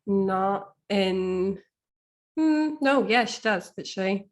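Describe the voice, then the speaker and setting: A woman guest talks casually at an unhurried, slow pace. Her delivery is halting and hesitant, as she searches for the right words in conversation. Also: mid-range voice, animated. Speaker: guest author being coached.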